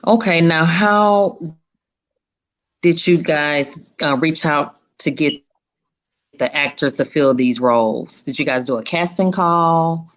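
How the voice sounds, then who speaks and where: A woman's voice: deep voice, slow pace, rough recording. A woman hosting an interview, asking questions in a conversational way. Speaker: interviewer, host.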